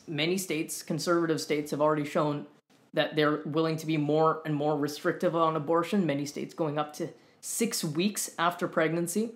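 A young Canadian man co-hosting a talk show, explaining in an earnest, measured way with deliberate pauses. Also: on the high side, a bit bright. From Winnipeg, Canada. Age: young adult. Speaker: co-host. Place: room at home with whiteboard and fridge, indoors.

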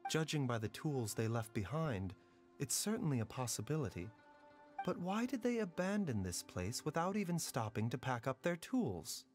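A man voicing a calm, courteous doctor who speaks in a measured, formal way. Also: mid-range voice, close to an everyday voice. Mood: thoughtful. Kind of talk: video game voice acting. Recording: game audio with soft music.

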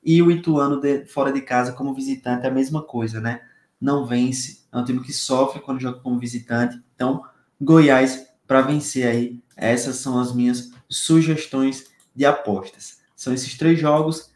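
A young man from Brazil talks through his betting picks in a friendly, upbeat way, speaking directly to viewers. His voice sits at an ordinary middle pitch. The small room around him adds a noticeable echo. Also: steady tone, normal speed, darkish tone. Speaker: sports betting tipster. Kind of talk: casual betting-tips video. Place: small home room, indoors. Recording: echoey room sound.

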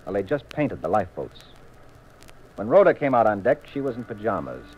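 A muffled vintage radio recording of an American detective husband. His voice is low, and he speaks with wry, teasing assurance. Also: big pitch swings, brisk, breathy edge. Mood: probing, accusing. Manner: wry, assured, leading questions. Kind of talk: 1950s radio mystery acting.

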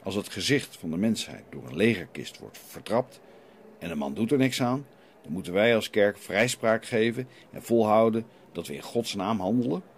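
A stern, defiant priest in a radio play acted by a man, with a low voice that swings expressively. He preaches and challenges with pointed rhetorical questions. There is light noise behind him. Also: lively intonation, normal speed. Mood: indignant. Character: stern, defiant renegade priest hearing confession.

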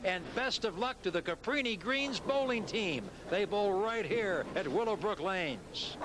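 A middle-aged American man presenting, interviewing and commentating as host of a local bowling TV show. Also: high-pitched. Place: large indoor bowling alley. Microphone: handheld microphone. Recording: noisy videotape, bowling alley ambience.

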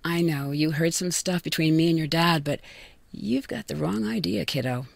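A woman voicing a loving but secretive mother in a video game, gently firm and evasive. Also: deep voice, normal speed, gravelly, no echo, studio quality, heavily acted. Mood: gentle, firm. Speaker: voice actor.

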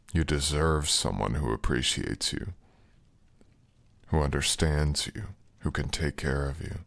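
Very deep, gravelly, breathy boyfriend played by a man. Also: dry recording, full character voice. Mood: tender, reassuring. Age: young adult. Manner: breathy, murmured, slow and soothing. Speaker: audio roleplay voice actor. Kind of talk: intimate boyfriend ASMR roleplay.

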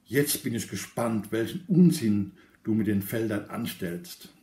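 A man's voice: on the low side, lively intonation, measured pace, warm tone. A man voicing a teasing, critical inner doubt companion in a storybook reading, needling and skeptical. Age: 60s. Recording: roomy indoor echo.

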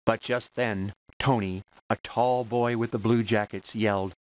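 A man narrating a children's story from a script in a measured read-aloud manner for young listeners. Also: on the low side, fairly even intonation.

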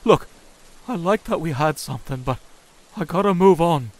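A man playing a defensive demon thug, blunt and halting, in an audio comic dub. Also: on the high side, animated. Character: gruff, defensive male demon thug, famous ex-fighter. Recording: background music. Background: music.

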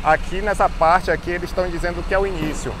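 A man with a Bahian accent guides a walking tour, talking casually with small restarts as he points things out. His voice sits fairly high for a man. He records on a phone at arm's length outdoors, with heavy street traffic behind him. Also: steady tone, fast talker. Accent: Bahian Brazilian Portuguese. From Salvador, Bahia, Brazil. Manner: chatty, fillers and restarts, guiding. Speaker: travel vlogger. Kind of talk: walking city tour vlog. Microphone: phone held at arm's length, selfie.

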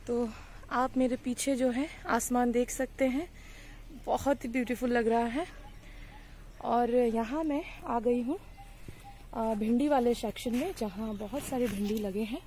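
A woman's voice: fairly high pitch, measured pace, mellow, slight background noise. A young woman vlogging casually from her sunny outdoor vegetable garden, chatting to viewers as friends with plenty of fillers while filming on a handheld phone. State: hot and bothered by sun and mosquitoes. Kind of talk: casual garden tour vlog. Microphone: handheld phone camera.

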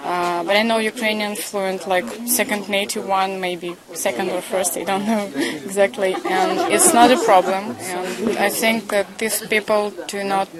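A woman's voice: mid-range voice, fairly even intonation, measured pace, few pauses, poor audio. A young woman with a Russian accent, a Russian native speaker, answering an interviewer casually and searching for words. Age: young adult. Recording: crowded party chatter behind.

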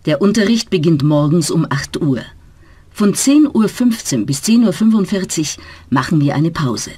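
A woman voicing a friendly German language teacher in a scripted learner dialogue, speaking clearly and carefully. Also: fairly low pitch, expressive, normal speed, warm tone, no echo. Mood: welcoming.

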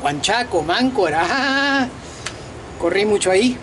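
A man in his fifties from Peru, talking straight to the camera outdoors at night in a casual, slangy, animated monologue. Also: high voice, unhurried, background noise. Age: 50s. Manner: animated, talks to viewers directly with slangy asides. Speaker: vlogger and self-declared political candidate. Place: outdoors at night on a balcony over a lit city.

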